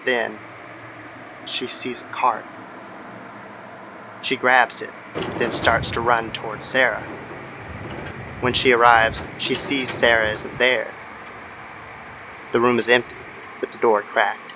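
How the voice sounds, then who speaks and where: A man's voice: mid-range voice, little pitch movement, slow, pauses often, pushed and bright, poor audio. A man narrating a scripted horror story as the storyteller of an audio drama. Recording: clear background noise under the voice.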